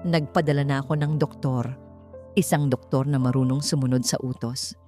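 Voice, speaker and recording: A woman's voice: a bass voice, normal speed, faint music behind. Woman playing a cold, taunting villainess. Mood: condescending. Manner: calm, condescending, taunting. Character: cold, mocking, cruel female villain.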